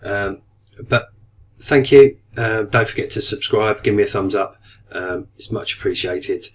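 A man's voice: lowish voice, unhurried. A man in his thirties with a cold coming on, casually reviewing an aquarium product, hesitant and full of ums. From United Kingdom. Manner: hesitant, many ums and restarts. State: getting a cold, voice going slightly. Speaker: aquarium hobby vlogger. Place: small room indoors at a desk. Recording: distant mic, faint room noise.

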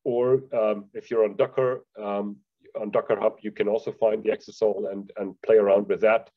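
A man answering a licensing question in a webinar in a relaxed, conversational way. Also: on the low side, moderate pace, highs cut off. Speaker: database vendor representative.

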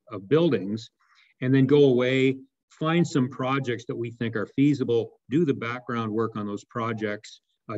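A man's voice: mid-range voice, darkish tone. A man on a video call walks the council through a plan, explaining patiently with plenty of restarts and filler words. His voice sits in the middle of the male range. The call audio is dull and lo-fi. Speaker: municipal staff member. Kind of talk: answering council questions.

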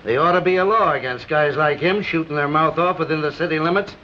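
A man's voice: on the high side, fast, lightly acted. An actor playing a resentful, grumbling male crook with slangy speech in an old-time crime film. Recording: muffled, noisy old film soundtrack.

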